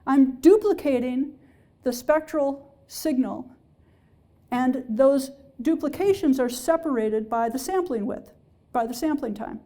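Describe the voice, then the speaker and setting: A woman's voice: high voice, measured pace, dark tone. A woman professor giving a public science lecture, explaining step by step and checking in with her listeners. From Alaska-based. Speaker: engineering professor. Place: lecture hall.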